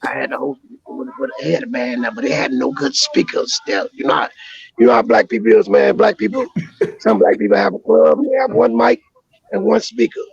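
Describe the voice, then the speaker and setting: A hoarse man with a deeply gravelly, raspy voice, a touch higher than most men. He jokes in brash, crude banter as a comedy guest. The sound is dull and lo-fi, like a call line. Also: huge pitch swings, brisk, soft background music. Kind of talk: casual podcast chat.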